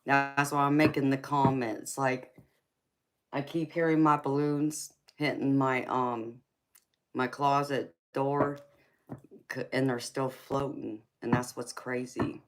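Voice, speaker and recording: A woman's voice: very deep voice, flat delivery, slow pace. A woman, chatting casually with live-stream viewers, laughing often and calling them honey. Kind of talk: casual live-stream chat reading comments.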